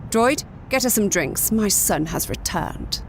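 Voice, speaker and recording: A woman's voice: expressive, unhurried. A woman playing a commanding, doting, villainous mother in a sci-fi audio drama, theatrical in delivery. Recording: clear background rumble and noise.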